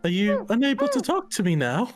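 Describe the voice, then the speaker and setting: Player doing a stammering young guy, flustered, halting, lively pitch. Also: over music. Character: young hesitant male companion, flustered and kind.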